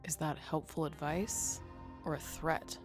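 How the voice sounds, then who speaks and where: Bounty hunter for a Western game, played by a woman: low female voice, guarded, sardonic, over clear music. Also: flat delivery, a little slow. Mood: wary. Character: wary, sardonic bounty hunter newly arrived in town. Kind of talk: visual novel voice acting.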